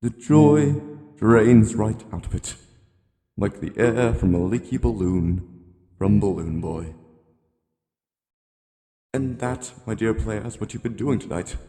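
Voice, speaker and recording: A man voicing a genteel, rambling elderly animatronic hippo from a game, politely ominous and gently admonishing in a slow, courtly monologue. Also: fairly low pitch, animated. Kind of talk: scripted character monologue. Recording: long cavernous reverb.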